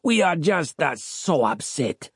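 A man's voice: on the high side, big pitch swings, slow pace, fairly bright tone, breathy edge, no echo, studio quality, heavily acted. A man voicing a hot-tempered, melodramatic Italian father who runs a restaurant, with an Italian accent, in an audiobook. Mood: apologetic. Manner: melodramatic, exclamatory. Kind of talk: audiobook character voice.

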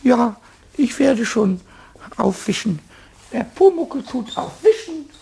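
Tiny hot-tempered kobold, high squeaky childlike voice, wildly animated, stammering, whiny and defiant, radio play. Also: male voice, slow pace, vocal fry, exaggerated voice. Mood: reluctant, grumbling. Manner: excitable, stammering repetitions, pleading and defiant.

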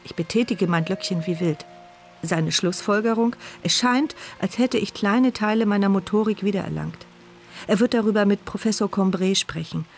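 A woman's voice: medium pitch, moderate pace, soft background music, natural voice. An actress playing a paralysed, bitterly sarcastic woman who narrates her thoughts as an intense inner monologue in a radio drama. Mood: sardonic.

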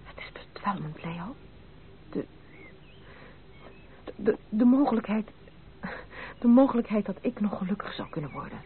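A woman's voice: medium pitch, big pitch swings, normal speed, pauses often, slightly rough, heavily acted. An actress playing a proud, troubled young woman in love, earnest and dramatic, in a Dutch radio play. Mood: overwhelmed, hushed. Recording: narrow, noisy old radio play recording.

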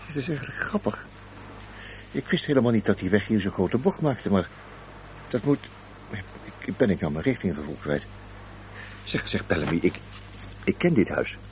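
An actor playing a courteous, quietly sly government investigator in a radio play, with a husky voice and a hesitant, musing way of questioning. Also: male voice, on the low side, very animated, moderate pace, long pauses, slight background noise, low-quality recording. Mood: musing, suspicious. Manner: hesitant, polite, musing, repeats himself. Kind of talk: Dutch radio drama acting.

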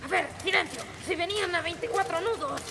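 A woman's voice: extremely high pitch, a little fast. A woman acting a whiny, exasperated pirate sidekick in a radio play, with theatrical exclamations. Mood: sly, matter-of-fact. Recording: music under the voice.

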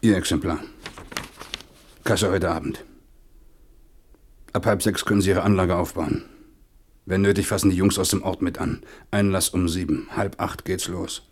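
Husky, low-voiced blunt man. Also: fairly even intonation. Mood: brisk, businesslike. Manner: clipped, halting, colloquial storytelling. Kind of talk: radio drama monologue.

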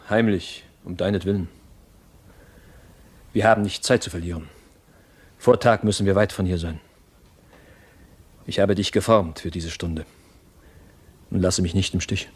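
An actor playing a fervent, scheming mentor in a theatrical radio drama, urgent, rhetorical and commanding. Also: male voice, lowish voice. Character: fervent, scheming mentor and jailer urging revolt.

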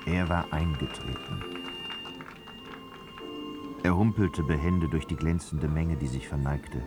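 A man's voice: a bass voice, slow pace, music in the background. An actor as the male narrator of a satirical society novel, delivering literary prose for a radio drama. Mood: measured narration.

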